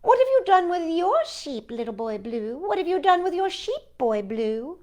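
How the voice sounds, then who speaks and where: A woman reading the part of a sweet, carefree young shepherdess from a nursery rhyme in lilting, measured verse. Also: extremely high pitch, sing-song, a little mellow, pitched above the performer's own voice.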